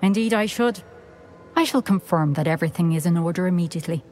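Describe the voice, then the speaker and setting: A woman voicing a former headmistress speaks in a lowish, crisp and proper voice. Her wit stays dry and composed. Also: quick pace, a little mellow, slight background noise, close to an everyday voice. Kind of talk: video game dialogue.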